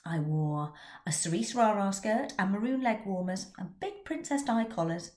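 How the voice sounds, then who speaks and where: A middle-aged woman, an author and teacher from England, giving a prepared talk in an expressive storytelling manner. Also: medium pitch, moderate pace, echoey room. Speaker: children's author. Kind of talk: scripted talk about her book.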